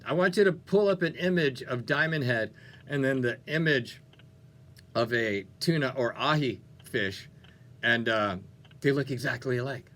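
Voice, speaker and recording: A man's voice: mid-range voice, measured pace, a bit bright. A man hosting a music radio show with upbeat, playful, conversational DJ patter. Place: radio studio.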